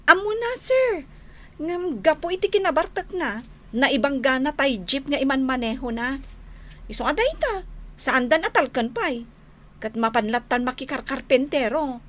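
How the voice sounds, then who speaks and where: A woman's voice: high voice, expressive, normal speed, fairly bright tone. A woman acting a fed-up, nagging wife in an Ilocano radio drama, with an Ilocano accent and emphatic exclamations. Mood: meek, polite. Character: scolding wife, exasperated, fed up with lazy drinking husband.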